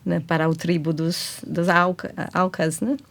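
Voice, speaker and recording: A woman with a noticeable English accent in her Portuguese, appearing as a guest on a women's ministry talk show. Her voice sits a little low. She explains at length, pausing on fillers and correcting herself as she goes. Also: flat delivery. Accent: Indian-born, English-raised speaker of Portuguese. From England.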